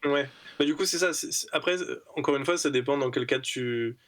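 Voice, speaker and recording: A man's voice: on the high side, brisk, fairly bright tone. A man co-hosting a casual podcast discussion, thinking aloud and repeating words with many fillers. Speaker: podcast co-host.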